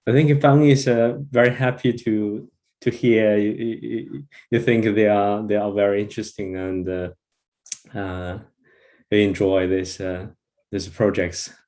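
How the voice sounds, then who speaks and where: A Chinese-accented man acts as an informal interpreter. His voice is fairly low and dark. He speaks slowly and haltingly, feeling for his words. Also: steady tone. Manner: halting, hesitant. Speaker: volunteer interpreter.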